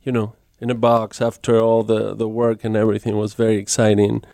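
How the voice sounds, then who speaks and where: A man's voice: fairly low pitch, completely flat delivery, brisk, creaky, dark tone, no room sound, clean studio sound. A man with an Argentine accent, a musician guest in a casual radio interview, speaking in a friendly, hesitant way with many ums. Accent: Argentine Spanish. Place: radio studio.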